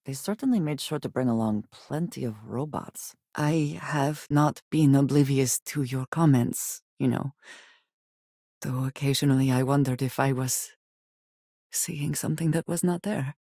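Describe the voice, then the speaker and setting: An actress playing a guarded, wary woman companion in a space video game, with formal, measured phrasing and a dry manner. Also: rumbling low, warm tone, husky, dry recording, exaggerated voice. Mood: reflective.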